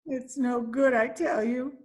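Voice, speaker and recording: Woman playing high, plaintive down-and-out lady. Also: steady tone, measured pace, low-quality recording. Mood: pleading. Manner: plaintive, pleading. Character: despairing, worn-down down-and-out old street woman. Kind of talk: old-time radio drama acting.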